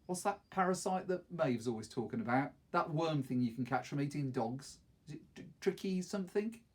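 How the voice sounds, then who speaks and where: A man's voice: a little high, lively intonation, normal speed, husky. A man voicing an anxious, questioning teenage boy in a storybook reading. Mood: uneasy, curious.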